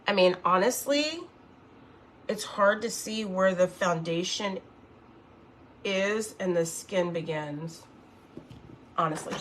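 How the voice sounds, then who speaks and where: Fifty-something lady chatting through her makeup live, mid pitch, bit of quiet hiss behind. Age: 50s. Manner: chatty, rambling, reads viewer comments aloud. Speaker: makeup livestream host. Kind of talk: casual livestream makeup tutorial.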